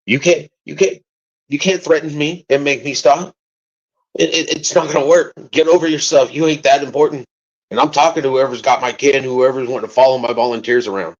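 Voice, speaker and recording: A father answering interview questions, halting and rambling at times and rising to forceful defiance at others. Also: medium pitch, fairly even intonation, dry recording, highs cut off. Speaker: father of a missing child, interviewee.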